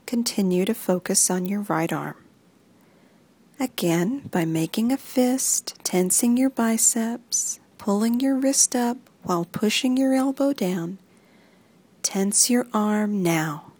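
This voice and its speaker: Woman, relaxation guide, very slow, breathy, soft and half-whispered. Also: medium pitch. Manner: soft, even instructions that often sink into a whisper. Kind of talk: guided muscle relaxation script.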